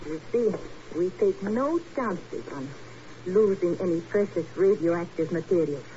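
A cool foreign woman of mystery in a radio thriller, with a dark, mellow voice. Her speech is precise, formal and prickly in its politeness. The old 1950s recording is muffled, with noise. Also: on the low side, animated, a little slow. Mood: cool, polite. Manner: stiffly polite, precise, few contractions. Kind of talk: 1950s radio drama acting.

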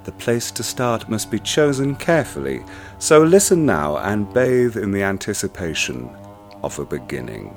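An actor playing a cold, sadistic man who speaks to a bound captive in a soft, measured, menacing way in a radio play. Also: on the low side, very animated, soft background music. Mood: silkily menacing.

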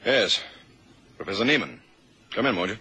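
A man's voice: on the low side, very animated, normal speed. A man playing a courteous, authoritative intelligence chief in an old radio drama, firm and businesslike. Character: brisk, courteous, authoritative male CIA chief. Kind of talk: 1970s radio drama acting. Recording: faint noise, old radio recording.

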